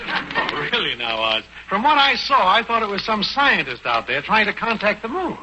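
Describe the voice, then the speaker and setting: A needling next-door neighbor with a high, animated, swooping voice. He is cheerfully sarcastic and mocking in the manner of 1940s radio comedy, over a clearly noisy broadcast. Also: moderate pace, lo-fi sound.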